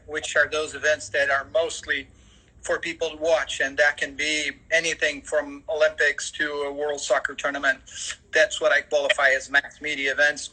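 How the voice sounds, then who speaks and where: A man in his fifties from Portugal speaks English with a Portuguese accent. His voice is very bright and slightly high, with a fairly flat intonation. He answers as an interview guest, picking his words deliberately with halting pauses. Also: poor audio. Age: 50s. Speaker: guest, sports events general manager.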